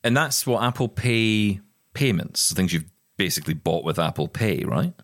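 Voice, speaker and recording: Male co-host, low and lively, playful banter, dry home recording. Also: moderate pace, no echo. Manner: chatty, jokey, acts out quoted exchanges. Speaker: podcast co-host. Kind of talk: casual chat. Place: spare room at home. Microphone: remote recording.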